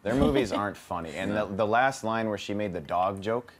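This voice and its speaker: A man with a slightly low voice talks at a rapid clip. He sounds conversational and opinionated, like a panelist on a casual discussion show. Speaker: movie talk show panelist.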